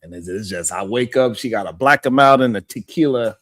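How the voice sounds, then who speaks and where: A man's voice: medium pitch, a little fast, fairly bright tone, no room sound. A man hosting a live stream in casual chat, telling loose slangy stories and laughing often.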